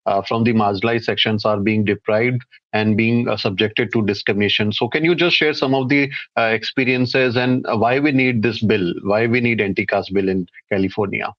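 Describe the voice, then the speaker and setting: A man with an Indian accent hosting a panel interview, speaking haltingly with restarts, on lo-fi call audio. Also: fairly low pitch, fairly even intonation. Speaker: interview host.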